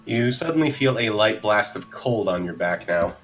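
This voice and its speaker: A man's voice: lowish voice, expressive, moderate pace. A man taking part as a player in a tabletop roleplay game, chatting casually and describing his character's moves. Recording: faint music behind. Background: faint music.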